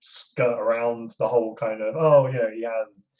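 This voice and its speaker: A man chatting casually on a podcast in a hesitant, halting way. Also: mid-range voice, fairly even intonation, a little mellow. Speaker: podcast co-host. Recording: dull lo-fi audio.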